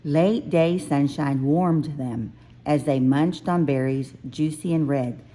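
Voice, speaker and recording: A woman reads a children's storybook aloud. Her pace is slow and deliberate, and her voice sits a little low. She phrases the narration expressively. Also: mellow. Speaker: children's book reader.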